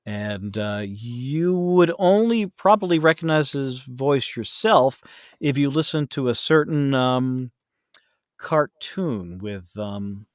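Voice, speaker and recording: A man's voice: medium pitch, lively intonation, takes it slowly, no room sound, clean studio sound. A man chatting casually as a podcast guest, telling anecdotes and acting out the remarks he quotes.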